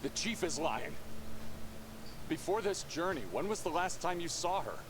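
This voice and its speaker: Earnest, animated priest. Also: male voice, fairly high pitch, normal speed. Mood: insistent, defensive. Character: earnest priest, a devoted husband defending his missing wife. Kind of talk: dramatic game dialogue. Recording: clear background ambience noise.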